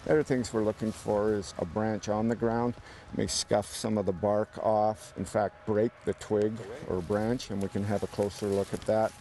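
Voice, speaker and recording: A man's voice: fairly low pitch, level tone, moderate pace, dark tone. A man giving a hands-on explanation in the field, recorded outdoors. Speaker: search and rescue tracker. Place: outdoors in the woods. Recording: faint outdoor background noise.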